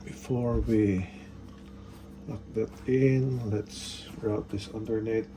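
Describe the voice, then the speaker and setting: Slow-talking, low-voiced man giving instructions. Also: steady tone. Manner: unhurried step-by-step, short instructional phrases. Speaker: product installation demonstrator. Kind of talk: casual hands-on tutorial narration. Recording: faint background noise.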